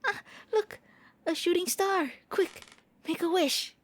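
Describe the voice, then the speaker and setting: A woman voicing a gentle, shy vampire prince in an intimate ASMR roleplay, speaking softly and a little hesitantly. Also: very high-pitched, slow pace. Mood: playful, shy. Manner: gentle, hesitant, intimate, stammering when flustered. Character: gentle, shy, eager young-looking immortal vampire prince. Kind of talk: soft ASMR roleplay monologue.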